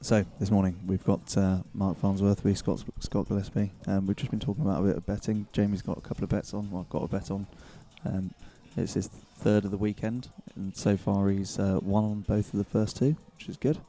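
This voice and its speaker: Bloke doing pool commentary, soothing low voice, pretty flat delivery. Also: male voice, brisk, hardly any pauses. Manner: relaxed, chatty and self-deprecating. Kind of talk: live stream commentary chat.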